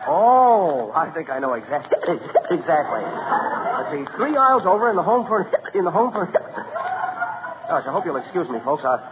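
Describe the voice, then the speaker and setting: Casting: hiccuping, polite shop floorwalker, high and halting, on a tinny, hissy 1940s radio recording. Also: male voice, huge pitch swings, crowd noise, full character voice. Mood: flustered. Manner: courteous, flustered, stammering. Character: polite department store floorwalker hobbled by hiccups. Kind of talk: 1940s radio comedy acting.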